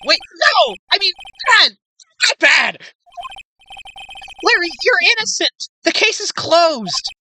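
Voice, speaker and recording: Rookie defense lawyer, young man female performer: earnest, exasperated, bright animated delivery, game dub. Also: very high-pitched, slow pace, long pauses, a little hiss or hum, heavily acted. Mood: exasperated, emphatic. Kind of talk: fan dub of a courtroom video game.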